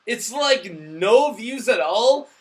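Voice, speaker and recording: A drunk man co-hosting a casual, sweary movie review, excitable and given to shouting. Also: high voice, slow.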